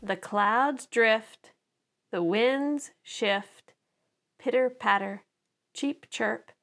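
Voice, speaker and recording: A middle-aged woman reading a children's picture book aloud to preschoolers in an expressive, deliberate storytelling manner. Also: on the high side. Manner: animated, deliberate storytelling with dramatized sound words.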